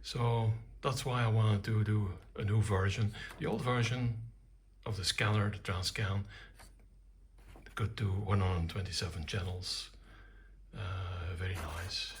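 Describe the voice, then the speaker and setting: An older man giving an informal technical demonstration, explaining things in short, halting, measured phrases. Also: on the low side, level tone, a little hiss or hum. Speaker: electronics hobbyist presenting own project.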